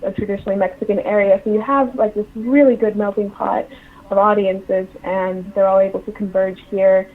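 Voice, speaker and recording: A woman's voice: medium pitch, fairly even intonation, fairly quick. Woman on a tinny phone-like line. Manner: conversational, informal, explanatory. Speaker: interview guest, book publisher. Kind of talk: casual interview conversation. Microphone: remote call.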